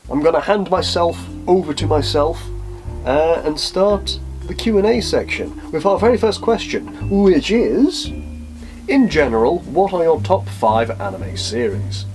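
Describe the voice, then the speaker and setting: A man's voice: a little high, animated, unhurried, darkish tone, soft background music. A man in his twenties chatting casually to camera as he answers questions, with a wry, self-deprecating manner. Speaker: YouTube host answering viewer questions.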